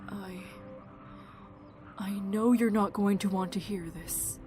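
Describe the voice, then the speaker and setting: A woman playing a frank, caring camp doctor in an audio drama, earnest and gentle with some hesitation. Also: medium pitch, fairly even intonation, slow pace, soft background music, close to an everyday voice. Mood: grave, sympathetic.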